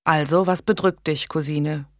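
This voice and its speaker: A woman voicing a gentle, pious king who speaks calmly and deliberately, in a storybook reading. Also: sits low, no room sound, clean studio sound, lightly acted. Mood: measured. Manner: calm, measured.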